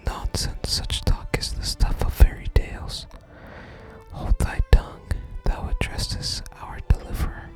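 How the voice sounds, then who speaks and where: A gaming ASMR creator speaking in a soft breathy whisper, gently and deliberately reading game dialogue and adding casual commentary. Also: rough recording. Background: game music.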